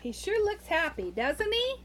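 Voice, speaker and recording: A woman tells a story to little children in an extremely high, lively voice. Her delivery is slow and sing-song, with big swoops in pitch and playful asides to the listener. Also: slight background noise. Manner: expressive, sing-song, addresses young children directly.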